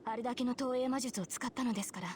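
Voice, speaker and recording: A woman's voice: on the high side, racing, darkish tone, a little hiss or hum, close to an everyday voice. An actress playing a stern, dutiful young female knight spirit in a Japanese visual novel. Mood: firm, worried.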